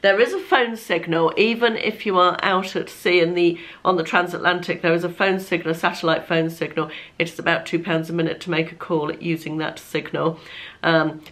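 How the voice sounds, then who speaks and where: A woman talks straight to camera in an easy, chatty vlog. Her voice sits a little low and sounds slightly croaky, and she is self-deprecating, laughing between phrases. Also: a bit bright. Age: 50s. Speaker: lifestyle vlogger. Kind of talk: casual chat to camera.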